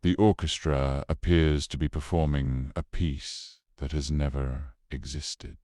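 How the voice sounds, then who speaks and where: A man narrating a scripted horror mystery in a solemn, measured manner, with short dramatic phrases. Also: extremely low pitch, level tone, mellow, no room sound, clean studio sound.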